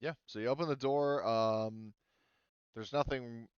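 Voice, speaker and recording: A man running a tabletop roleplaying game as game master, explaining informally and haltingly. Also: medium pitch, steady tone, clear, resonant tone, dry recording, dull audio.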